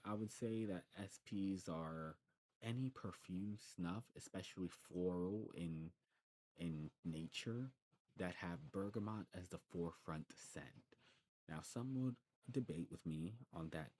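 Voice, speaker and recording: A man's voice: very deep voice, a little mellow. A man playing a demon snuff shopkeeper in an ASMR roleplay, explaining patiently in a hesitant, rambling way. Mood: explanatory. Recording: clearly noisy background.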